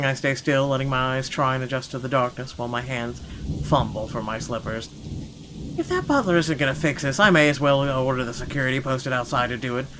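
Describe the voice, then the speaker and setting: A man reading a visual novel aloud as a wealthy, irritable gentleman, expressive and indignant in his narration. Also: mid-range voice, noisy background, lightly acted. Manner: indignant, expressive, stumbles over the script. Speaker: gaming channel host.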